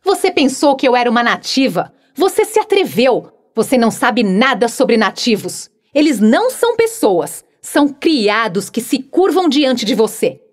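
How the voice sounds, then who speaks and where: A spoiled, imperious little girl speaks in a high, bright voice that leaps up and down as she demands and scolds, as a woman narrator puts her on. Her tone is arrogant and indignant. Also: big pitch swings, moderate pace, hardly any pauses. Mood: furious.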